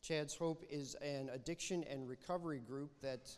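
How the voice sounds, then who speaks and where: A man hosting a church service, giving a welcome and a prayer in a halting way with many fillers. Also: fairly high pitch, a little mellow. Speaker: church host leading a service. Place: church sanctuary. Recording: long reverb of a church hall.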